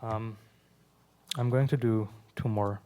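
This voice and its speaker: A young adult man from Iran, whose first language is Persian, reads his poems aloud in a measured way, with quiet asides between them. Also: on the low side, steady tone, slight background noise. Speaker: poet. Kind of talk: live poetry reading.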